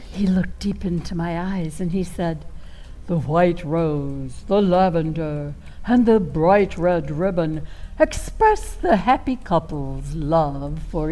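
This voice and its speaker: Woman playing a slow, swooping, flirty storyteller. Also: on the low side, warm tone. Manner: theatrical, drawn-out dramatic delivery. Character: Violet Van Zandt, flirty much-married woman storyteller, dreamy and tipsy-witted. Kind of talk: live comic stage monologue. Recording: live theater performance with faint music.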